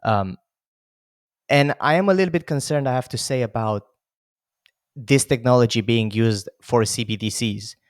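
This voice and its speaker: A man's voice: mid-range voice, dry recording, clean studio sound. A man in his thirties from Romania, a podcast host talking casually with many fillers. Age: 30s. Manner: chatty, lots of "like" and "you know" fillers. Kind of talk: casual conversation.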